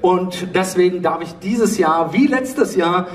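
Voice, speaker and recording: A man moderating a live stage panel, pausing often and drawing out words. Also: high voice. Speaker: panel host, audio drama producer. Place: theatre stage, indoors. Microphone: handheld mic over PA, recorded from audience. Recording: roomy, distant hall recording with noise.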